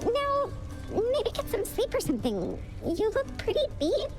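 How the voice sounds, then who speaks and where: A woman's voice: extremely high pitch, huge pitch swings, measured pace, music in the background, heavily acted. A woman playing a timid, awkwardly polite underworld servant in a video game, hesitant and chirpy. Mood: awkward, reproachful.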